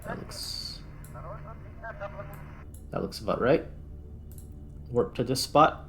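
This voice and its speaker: A man's voice: mid-range voice, big pitch swings, slow. A man giving casual gameplay commentary, thinking aloud as he plays. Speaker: gaming video host.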